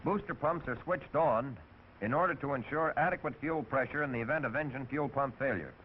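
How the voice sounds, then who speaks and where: A man's voice: medium pitch, a little fast. A man narrating technical procedures step by step in a scripted 1940s training film. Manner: methodical, step-by-step. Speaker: instructional narrator. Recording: tinny, hissy 1940s film soundtrack.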